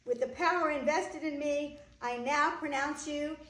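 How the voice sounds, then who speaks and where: A woman playing a solemn, benevolent wedding officiant in a staged reading, speaking formally and in a measured way. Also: sits high, fairly bright tone. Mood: solemn, celebratory. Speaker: actor. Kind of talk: staged play reading, ceremonial. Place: echoey room, live staged reading. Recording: echoey room, distant microphone.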